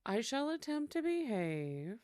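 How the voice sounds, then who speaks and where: A woman's voice: on the high side, very animated, unhurried, a little mellow, slight background noise. A woman voicing a flirtatious, teasing vampire in an audio roleplay, coy and seductive with mock-polite formality. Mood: playful, coy. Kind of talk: scripted ASMR audio roleplay acting.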